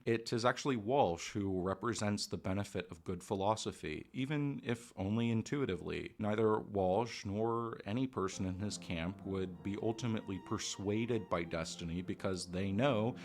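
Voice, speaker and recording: A man giving a measured, scripted voice-over commentary in a lecturing manner. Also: on the low side. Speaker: commentary narrator. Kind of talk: scripted narration. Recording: music bed under voice.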